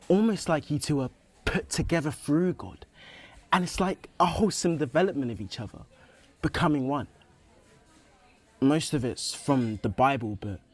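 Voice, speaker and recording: A man's voice: medium pitch, darkish tone, close to an everyday voice. An actor playing an earnest, open young religious man in a naturalistic radio play, explaining himself thoughtfully.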